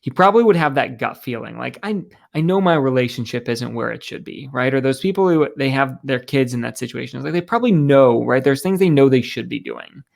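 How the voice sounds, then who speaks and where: Gravelly mid-pitched podcast co-host man. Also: lively intonation, brisk, hardly any pauses. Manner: conversational, lots of agreeing murmurs and tag questions. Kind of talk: casual podcast conversation.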